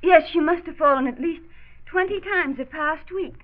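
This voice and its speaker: A woman's voice: extremely high pitch, normal speed. A woman playing a polite, caring mother in a 1940s radio drama. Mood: concerned. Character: concerned, polite young mother. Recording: tinny narrow 1940s radio recording.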